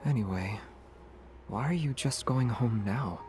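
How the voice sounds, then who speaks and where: A man playing a calm young monster hunter in a video game, teasing in an understated, deadpan way. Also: medium pitch, measured pace, soft background music, lightly acted.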